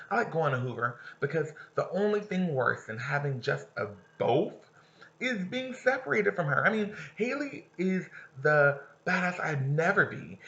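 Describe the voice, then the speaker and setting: A man's voice: on the high side, sharp tone, muffled recording. A man who teaches, reading a novel aloud to his students and stopping to explain passages and ask them questions.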